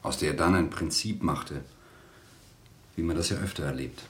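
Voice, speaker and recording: A male interviewer in a radio drama, polite but probing. He has a low voice and puts his questions in a measured, everyday manner. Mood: inquiring.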